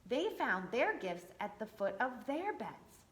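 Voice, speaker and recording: A woman's voice: fairly high pitch, big pitch swings, unhurried, fairly bright tone. A woman reading a picture book aloud to children for a church, with chatty asides to her young listeners. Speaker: church storyteller. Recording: echoey room.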